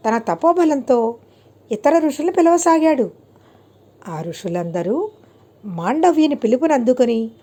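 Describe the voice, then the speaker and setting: A woman narrates moral stories for children in a scripted style, with measured, deliberate phrasing and frequent pauses. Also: fairly high pitch, dark tone. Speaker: storyteller.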